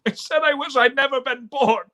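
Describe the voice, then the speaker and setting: A man's voice: extremely high pitch, moderate pace, bright, forceful tone. A man playing a despairing, folksy everyman who stammers, in a live reading of an old-time radio play. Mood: bitter, despairing. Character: despairing, stammering everyman wishing he was never born.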